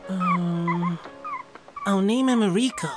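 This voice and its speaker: A woman's voice: medium pitch, slow pace, over music, natural voice. A woman voicing a headstrong young boy in an amateur audio drama. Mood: earnest.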